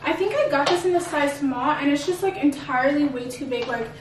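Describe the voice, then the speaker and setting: A young woman with a high voice talks casually to her vlog viewers. She gushes and peppers her talk with "like". The recording is echoey, picked up far from the mic. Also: fairly quick, background noise. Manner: gushing, filler-heavy "like" speech. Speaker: vlogger. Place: indoors at home, echoey room.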